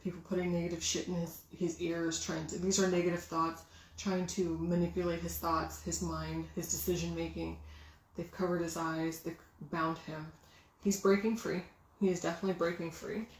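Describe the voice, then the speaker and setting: A woman giving a casual, excitable tarot reading, chatting and musing aloud with many fillers. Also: lowish voice, normal speed. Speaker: tarot reader. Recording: roomy with faint background noise.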